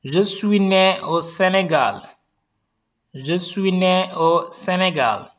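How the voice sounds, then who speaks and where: A man from Senegal, teaching languages by slowly repeating each phrase twice. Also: high voice, a bit bright. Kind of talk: slow repeated phrase drills.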